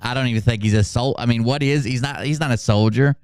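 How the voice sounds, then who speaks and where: A man's voice: medium pitch, quick pace, a little mellow, clear, resonant tone, no room sound, clean studio sound. A man hosting a commentary show, speaking informally and sarcastically and swearing at times, in a mocking style. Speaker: host of a commentary channel. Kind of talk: mocking commentary with dramatic read-outs.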